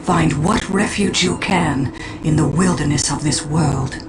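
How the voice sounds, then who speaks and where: A woman playing a proud, solemn alien seer in a game cutscene, speaking formally and gravely. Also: sits low, a little slow, noisy background. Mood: grave, resigned. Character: solemn, proud female Eldar seer commanding warriors. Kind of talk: scripted game cutscene acting. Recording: distant voice under loud game music.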